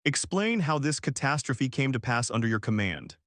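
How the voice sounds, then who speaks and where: A man's voice: medium pitch, sing-song, normal speed, a bit bright, no echo, clean studio sound. A man reading as a stern female alien leader in a science fiction story, cold, formal and commanding. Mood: ice cold. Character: stern, imperious female alien high counselor.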